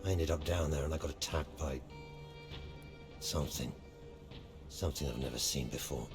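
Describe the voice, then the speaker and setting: An actor playing a hardened male bounty hunter in a video game, gruff, curt and deadpan. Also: moderate pace, over music. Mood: grim, reporting. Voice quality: gruff, very deep. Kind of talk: video game cutscene acting.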